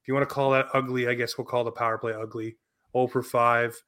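A man's voice: mid-range voice, little pitch movement, fast, fairly bright tone. A man hosting a sports podcast, giving casual, blunt opinions in short colloquial phrases.

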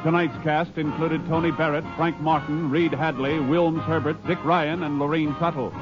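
A male announcer with a highish voice reads scripted copy in 1950s radio style. Loud music plays behind him on a dull old recording. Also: steady tone, normal speed.

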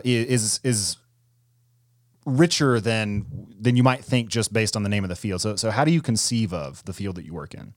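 Podcast host guy, fairly low voice, chatty, dry close sound. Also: no echo. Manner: conversational, some restarts when asking questions. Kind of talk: podcast hosting.

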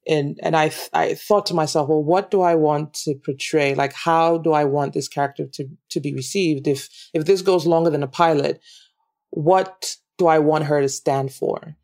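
A Nigerian-accented woman from Nigeria, a guest actress chatting reflectively in an interview, laughing easily and pausing with ums. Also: deep voice, fairly even intonation, a little mellow. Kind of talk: casual interview chat.

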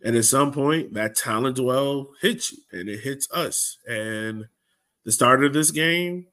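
A man hosts a casual soccer fan podcast in an average-pitched voice. He talks bluntly and sounds frustrated as he breaks down his team's play. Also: slow pace, bright, forceful tone. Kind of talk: casual sports recap discussion.

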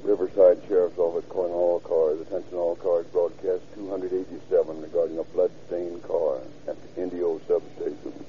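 A man acting as a police dispatcher on a vintage radio show reads a clipped bulletin. His low voice is flat and official, on a muffled recording with faint noise. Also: moderate pace, hardly any pauses, natural voice. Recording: muffled, noisy old radio broadcast.

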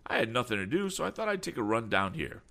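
A man voicing an excitable, fussy, eccentric older gentleman in a storybook, chattering nervously with quaint exclamations. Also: medium pitch, fast, creaky, voice pushed up, full character voice. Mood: flustered, surprised.

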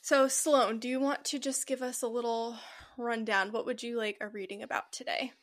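A woman's voice: fairly high pitch, steady tone, normal speed, fairly bright tone. A woman co-hosting a casual podcast and reading tarot, with a congested, nasal voice from being sick. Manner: chatty, self-deprecating, laughs often. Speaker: podcast co-host.

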